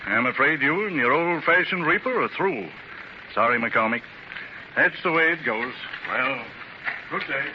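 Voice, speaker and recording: Actor as a blunt, self-assured rival industrialist; 1930s radio, muffled lo-fi. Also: male voice, mid-range voice, lively intonation, unhurried, lightly acted. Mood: smug. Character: confident blunt male business rival. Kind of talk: 1930s radio drama acting. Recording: dull faintly hissy 1930s transcription.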